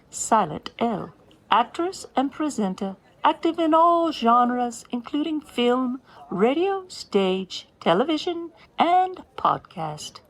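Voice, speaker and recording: A woman hamming up a grand, self-important British stage dame with a high, swooping voice. She speaks in slow, theatrical phrases and savours every pompous word. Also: exaggerated voice. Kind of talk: comedy podcast sketch.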